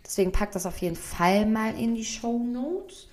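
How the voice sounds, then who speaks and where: A woman's voice: a little high, moderate pace. A young woman co-hosting a podcast with a blunt, teasing manner. She talks casually and jokes easily with her co-host. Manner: joking, blunt, lively. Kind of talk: casual chat.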